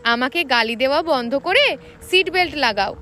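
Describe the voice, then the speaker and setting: Woman voicing a bossy, stern young man, high-pitched. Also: lively intonation, normal speed, sharp tone, a little hiss or hum. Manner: curt, commanding, teasing.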